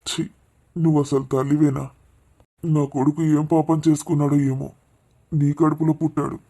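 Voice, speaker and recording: A man's voice: on the high side, little pitch movement, normal speed, warm tone. A man playing an upright father who firmly and indignantly rebukes his scheming wife. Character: upright father, protective of his friend's daughter. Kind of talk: melodramatic audio drama.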